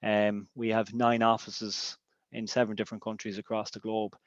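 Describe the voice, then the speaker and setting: A man's voice: on the low side, little pitch movement, moderate pace, no echo, dull audio. A man from Ireland with an Irish accent, a native Irish speaker, giving a webinar presentation as a business intelligence director, with many ums and restarts.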